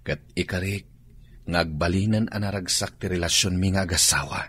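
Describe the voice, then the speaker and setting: A man's voice: sits low, moderate pace, fairly bright tone, a little hiss or hum. A man playing a guilty, earnest husband and father in an Ilocano radio drama. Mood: earnest promising.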